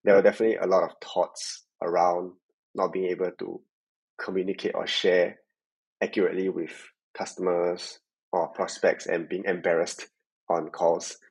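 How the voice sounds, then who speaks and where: Low, husky Singaporean man being interviewed. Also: flat delivery, normal speed, a little mellow, poor audio. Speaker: podcast guest, tech sales worker. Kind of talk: reflective interview conversation.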